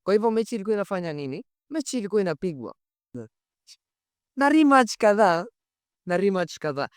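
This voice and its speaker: A man's voice: sits high, sing-song, quick pace, clear, resonant tone, dry recording, studio quality. A man with a Kenyan accent hosting a casual, dramatic storytelling show, speaking conversationally and emphatically and repeating phrases for effect. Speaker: storytelling host.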